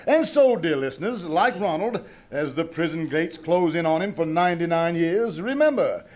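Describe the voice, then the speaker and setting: A man's voice: a little high, lively intonation, normal speed, darkish tone, lightly acted. A man acting a pompous, melodramatic radio-star husband in an old-time radio comedy style. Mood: mock-kindly preaching. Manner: melodramatic, grandiose.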